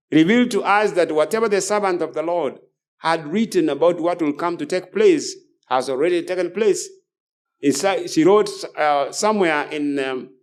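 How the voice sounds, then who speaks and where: A man preaching in an expository lecture style, deliberate and halting, repeating phrases for emphasis. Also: fairly high pitch. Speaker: preacher, ministry host.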